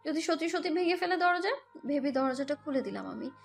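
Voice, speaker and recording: A woman acts out a hurt young mother narrating a romantic audio drama in the first person. Her voice is very high, with a dramatic, scolding edge and a defiant temper. Music runs underneath. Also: expressive, fairly quick, creaky. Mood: uneasy narration. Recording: music bed behind the voice.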